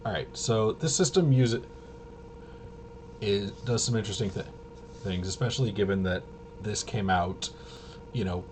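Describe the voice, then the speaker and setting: A man's voice: fairly low pitch, normal speed, pauses often, a little mellow. A man giving a casual, unscripted game walkthrough in a hesitant, rambling way, often trailing off and correcting himself. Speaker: tabletop game reviewer and presenter. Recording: clearly noisy room ambience.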